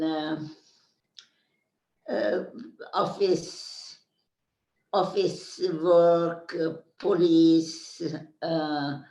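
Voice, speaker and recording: Older woman, oral history witness, slow and halting, roomy distant sound. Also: low-pitched, dark tone. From Carpathian Ruthenia. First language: Hungarian. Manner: slow, searching for words with many pauses. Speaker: interviewee. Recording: echoey room, speaker off mic.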